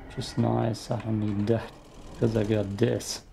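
A man's voice: lowish voice, level tone, measured pace, creaky, mellow, slight background noise. A man with an Austrian accent casually commenting on a horror game as he plays, muttering frustrated asides to himself. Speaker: gameplay streamer. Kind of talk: casual let's play commentary.

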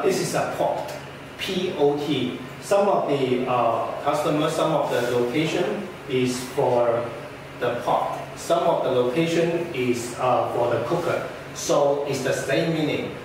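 Young man, slightly high voice, halting speech with many fillers, distant camera mic, noisy room. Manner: halting, frequent "uh" fillers, non-native phrasing. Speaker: product trainer. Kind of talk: presenting a product walkthrough. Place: indoor meeting room with projector screen. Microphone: far camera. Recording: echoey room from far off, background noise.

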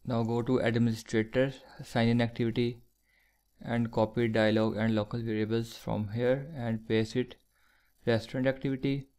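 A man teaching programming in a screencast, slowly dictating code step by step with fillers. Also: mid-range voice, level tone, a little mellow, smooth. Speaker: programming tutor. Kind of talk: screencast coding tutorial.

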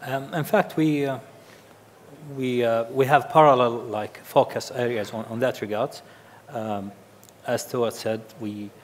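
Halting middle-aged man in a reverberant hall. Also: mid-range voice. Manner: halting, repeats words as he goes. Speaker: panelist, industry practitioner. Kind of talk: unscripted panel answer. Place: on stage in a large conference hall. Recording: reverberant hall with background noise.

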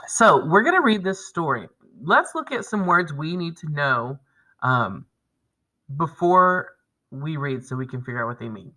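Bright-voiced, expressive male teacher. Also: on the high side, unhurried, low-quality recording. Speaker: elementary school teacher. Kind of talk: video reading lesson for children.

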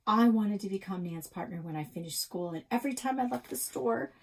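A middle-aged woman reads a children's story aloud. Her voice is mid-pitched and husky, picked up at about arm's length in a small office. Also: expressive, fairly quick, darkish tone. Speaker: reader of a children's novel.

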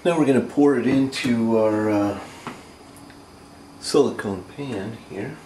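A man in his thirties with a North American accent, giving a casual step-by-step cooking demonstration in a plain, halting way. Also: mid-range voice, dark tone. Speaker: amateur cooking video host. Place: home kitchen, indoors. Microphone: camera microphone, a short distance away. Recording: roomy camcorder sound with clear noise.

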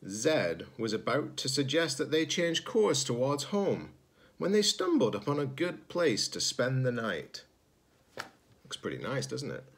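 A man's voice: mid-range voice, sing-song, unhurried, fairly bright tone, breathy edge. A man, a teacher-librarian reading a children's storybook aloud. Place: small indoor room at home.

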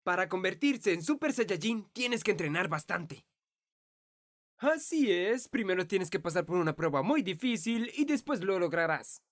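A woman's voice: on the low side, sing-song, moderate pace, dry recording. Woman voicing an earnest teenage boy, dry sound. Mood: curious, patient. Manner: gentle, patient, explanatory. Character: kind, earnest teenage boy fighter, older brother. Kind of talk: anime fan dub acting.

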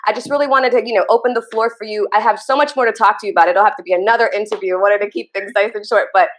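A woman's voice: medium pitch, fairly even intonation, rapid-fire, slight background noise. Chatty woman host from Trinidad. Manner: enthusiastic, chatty. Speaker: interview host. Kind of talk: video call interview. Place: home office. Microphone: video call.

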